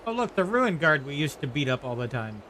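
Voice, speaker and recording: A man's voice: a little high, big pitch swings, brisk, bright, forceful tone, a little hiss or hum. A man giving casual, offhand live commentary as he plays a video game, muttering short reactions. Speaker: gaming streamer.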